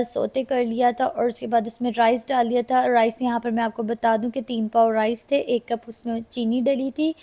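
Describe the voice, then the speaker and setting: A slightly hoarse woman with a Pakistani Urdu accent, chatting casually to viewers in a cooking vlog voice-over. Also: fairly high pitch, dark tone. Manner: chatty, addresses viewers directly. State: slightly hoarse from a sore throat. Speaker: vlogger.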